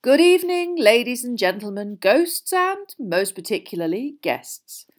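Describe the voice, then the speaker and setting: A woman's voice: on the high side, sing-song, unhurried, voice pushed up. A woman voicing a genial, dignified old male headmaster in an audiobook, speaking with formal, welcoming oratory. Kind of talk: acted dialogue in an audiobook reading.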